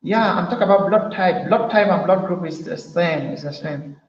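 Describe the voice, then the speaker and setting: A man's voice: sits high, normal speed, echoey room, dull audio. Chatty Cameroonian man on a call. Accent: Cameroonian English. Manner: chatty, asks guests questions, repeats himself. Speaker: host of an online health forum. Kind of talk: casual group call chat.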